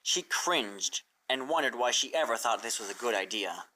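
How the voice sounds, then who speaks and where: A narrator reading a fan fiction story aloud in an expressive storybook style. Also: mid-range voice, moderate pace, slight background noise.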